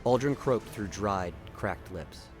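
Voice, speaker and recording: Male narrator, slightly low register, dramatic scripted storytelling over faint music. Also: takes it slowly.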